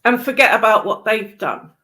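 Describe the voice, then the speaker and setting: A woman's voice: on the high side, normal speed, pushed and bright. A woman chatting casually in an exasperated, emphatic way, with some casual swearing. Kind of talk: casual chat.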